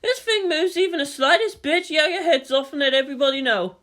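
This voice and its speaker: Lady doing jumpy squad leader in a war mech, high voice, clipped radio talk, kinda irreverent. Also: expressive, a little fast, fairly bright tone. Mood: uneasy. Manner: casual swearing, clipped military radio talk.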